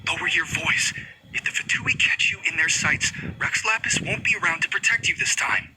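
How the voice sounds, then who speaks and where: A man's voice: sits high, normal speed, noisy background, close to an everyday voice. A man voicing a wary townsman who gossips in a conspiratorial way. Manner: conspiratorial, hushing.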